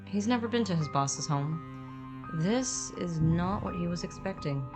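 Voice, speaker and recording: Woman, low voice, scripted atmospheric storytelling, co-narrator, clear music bed underneath. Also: lively intonation, a little slow.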